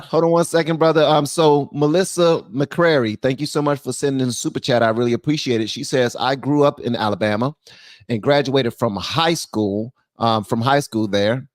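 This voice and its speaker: A man's voice: mid-range voice, normal speed, dry recording, studio quality. A man hosting a live call-in stream, chatting casually and informally, addressing his guests like buddies. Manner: informal, calls guests brother, man, babe.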